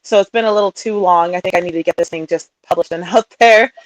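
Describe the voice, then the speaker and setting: A woman's voice: lowish voice, fast, no room sound, poor audio. A woman in her thirties, a guest in a casual interview, chatting about her life with frequent laughter. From Utah, raised also in Sweden and England. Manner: chatty, laughs often, warm storytelling. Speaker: guest, coaching client.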